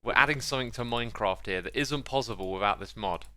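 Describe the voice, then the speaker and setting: A man's voice: medium pitch, quick pace, sharp tone, slight background noise. A man giving casual, chatty gameplay commentary as a video host, with playful exclamations, close on the mic.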